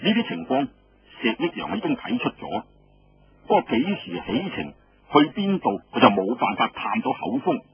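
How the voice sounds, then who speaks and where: A man's voice: mid-range voice, wildly swooping pitch, moderate pace, breathy edge. A man with a Cantonese accent narrating a story in a dramatic, colloquial style with conversational asides. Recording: dull lo-fi radio-style audio, faint noise.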